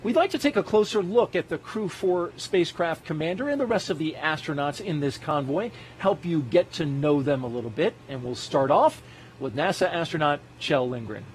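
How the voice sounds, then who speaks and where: Smooth announcer-style launch host. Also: male voice, a little high, expressive, moderate pace, a little hiss or hum. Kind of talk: live broadcast commentary.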